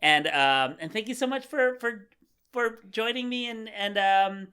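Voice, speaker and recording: A podcast host chatting casually, dropping quick encouraging replies and drifting between topics. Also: medium pitch, sharp tone, clean tone. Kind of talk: casual chat.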